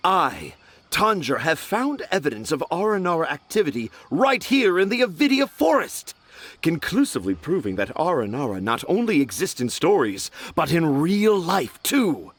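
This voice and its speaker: An actor playing a self-important children's storyteller in a video game, speaking in flowery, theatrical phrases. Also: male voice, fairly high pitch, big pitch swings, normal speed, fairly bright tone, a little hiss or hum. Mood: boastful, grand. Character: dramatic, self-important male children's storyteller with lofty dreams.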